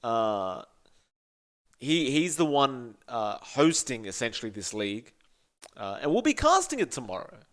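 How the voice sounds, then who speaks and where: A man's voice: mid-range voice, big pitch swings, unhurried, a bit bright. A young Australian man co-hosting a gaming podcast, ranting bluntly with profanity and often calling his co-host by name. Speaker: podcast co-host and esports caster. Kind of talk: casual podcast chat.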